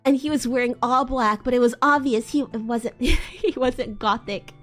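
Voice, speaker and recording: A woman's voice: a little high, unhurried. A woman streamer giving a theatrical, amused live dramatic reading of fan fiction. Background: faint music.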